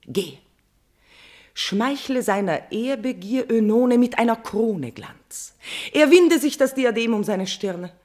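An actress playing a guilt-ridden queen in a classical tragedy, declaiming verse with a husky voice, impassioned and swinging between hush and outcry. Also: a little high, lively intonation, slow. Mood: urgent pleading. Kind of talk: declamatory classical German stage verse acting.

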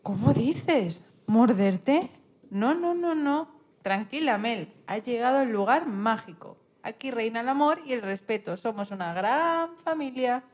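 A woman playing a cheerful, reassuring female rabbit in a children's radio story, with a friendly, animated manner. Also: a little high, a little slow. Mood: welcoming. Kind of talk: amateur radio-play acting of a children's story.

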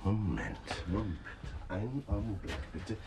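An actor playing a fussy, talkative department store manager in a radio drama. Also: male voice, sits low, sing-song, a little slow, vocal fry, noisy background, exaggerated voice. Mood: fussy, eager.

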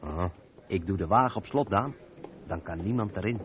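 A man acts out an experienced truck driver in a radio drama, practical and a little uneasy. The old recording is tinny and narrow, with loud noise under the voice. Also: low-pitched, brisk, lightly acted. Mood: matter-of-fact. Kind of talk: radio play acting. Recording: noisy phone-like band-limited old radio play recording.

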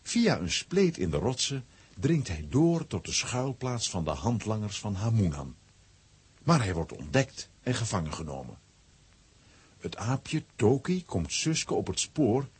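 A man narrating a children's adventure audio play as an expressive storyteller, with a rough, husky voice. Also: fairly low pitch, full character voice. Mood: suspenseful.

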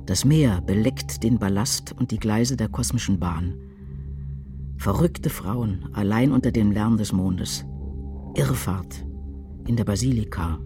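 A man reciting poetry for a radio drama in a grave, measured, declamatory way. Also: on the low side, lightly acted. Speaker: reader in a radio adaptation of a poem cycle. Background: music.

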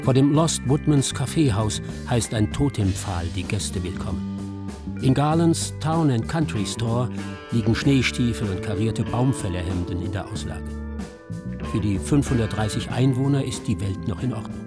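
A man narrates a scripted radio documentary with a medium-pitched voice. He tells it in a measured, descriptive way, with music clearly audible behind him. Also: animated.

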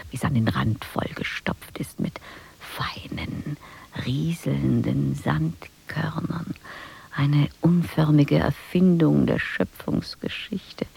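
A dreaming woman murmurs her inner monologue in a radio play, musing and wry. Her low voice swings widely in pitch and dips into creak, slow and deliberate in its phrasing. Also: a little mellow, full character voice.

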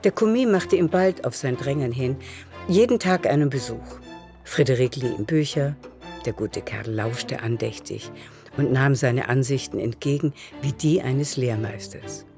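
A man's voice: mid-range voice, a little slow, a little mellow, faint music behind. A man narrating a literary radio drama from a script.

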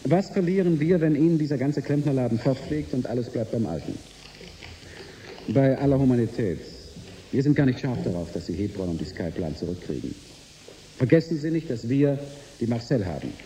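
An actor playing a suave, condescending corporate negotiator in a German radio drama. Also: male voice, mid-range voice, lively intonation, fairly quick, mellow, a little hiss or hum, lightly acted, poor audio. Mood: condescending, assured. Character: smug, hard-bargaining male corporate negotiator.

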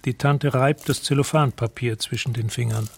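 A man's voice: medium pitch, steady tone, moderate pace, creaky, husky. A man narrating a radio drama in a detached, precise, scene-setting way.

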